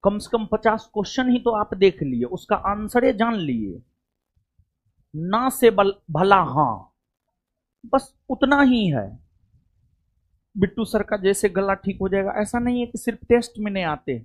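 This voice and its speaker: A man's voice: sits high, big pitch swings, measured pace, pauses often. A man speaks to a coaching class. His talk is loose and chatty. He keeps circling back with little check-ins to the students. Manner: chatty, rambling, repeats "theek hai". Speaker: coaching teacher.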